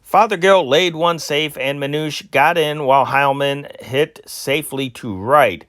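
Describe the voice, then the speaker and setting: A man's voice: fairly high pitch, measured pace, fairly bright tone, dry recording, studio quality. A man who is an amateur reader, reading old newspaper sports stories aloud in an unpolished way, with restarts and filler sounds. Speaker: hobbyist newsreader.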